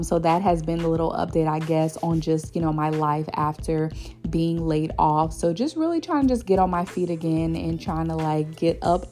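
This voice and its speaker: A woman's voice: lowish voice, darkish tone. Chatty woman podcaster. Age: 20s. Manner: chatty, addresses listeners as y'all, many ums. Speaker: podcast host. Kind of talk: casual solo podcast chat.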